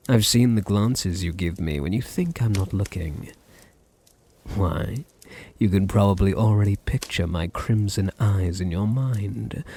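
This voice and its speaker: Slow, breathy British man acting a vampire master. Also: fairly low pitch, warm tone. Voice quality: breathy, often dropping to a whisper. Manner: slow, purring, condescending endearments. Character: seductive, domineering male vampire master, possessive and teasing. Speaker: audio roleplay voice actor. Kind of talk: ASMR roleplay acting.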